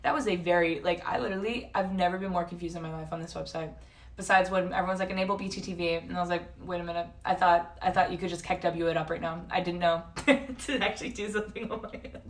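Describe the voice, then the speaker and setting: A woman's voice: fairly low pitch, fairly even intonation, quick pace, distant mic. A woman in her early twenties with a North American accent, chatting casually to livestream viewers in a rambling, slangy, self-interrupting way. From San Jose, California and Toronto, Canada. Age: early 20s.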